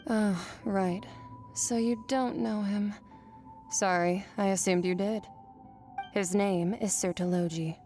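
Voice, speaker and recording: A woman playing a calm, proud young swordswoman in a video game, blunt and detached with formal phrasing. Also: mid-range voice, slow, faint music behind, close to an everyday voice. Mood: detached, musing. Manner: detached, matter-of-fact, formal.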